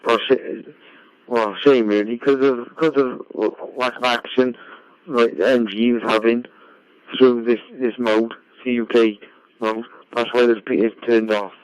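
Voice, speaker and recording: A man, an amateur radio operator, chatting casually and haltingly over a radio link, often repeating his phrases. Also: steady tone, clean tone, dry recording. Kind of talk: casual ham radio chat. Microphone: radio link played through a computer. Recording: dull low-fi digital radio link.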